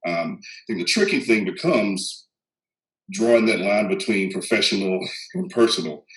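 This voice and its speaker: A man's voice: fairly low pitch, expressive, a bit bright, rough recording. A man in his thirties with a faint Texan accent, a psychologist talking casually on a panel with many ums and restarts. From Texas. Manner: measured, many "um"s and restarts, chuckles. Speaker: guest psychologist.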